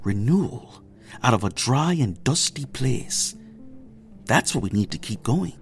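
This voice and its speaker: Dreamy idealist leader, slow talker, pitch really rises and falls, persuasive, music under him. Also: male voice, sing-song. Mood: earnest, persuasive. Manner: rhetorical, musing, persuasive. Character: idealistic visionary man leader, earnest and reflective. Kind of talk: naturalistic audio drama acting.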